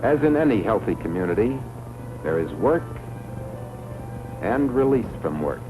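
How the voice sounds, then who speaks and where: A man with a low-pitched voice narrates a 1950s educational documentary. His delivery is scripted, measured and formal. Music plays clearly behind him on the vintage film soundtrack. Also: sing-song, rough recording.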